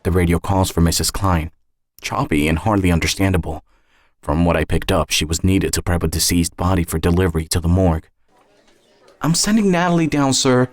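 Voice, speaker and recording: A man narrating a scripted first-person story in a measured, reflective manner. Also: deep voice, flat delivery, dry recording. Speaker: narrator and author.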